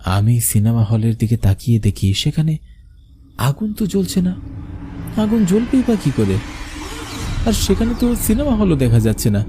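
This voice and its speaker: A man's voice: mid-range voice, background noise. A man narrating a scripted cartoon horror story in the first person with suspenseful, dramatic delivery.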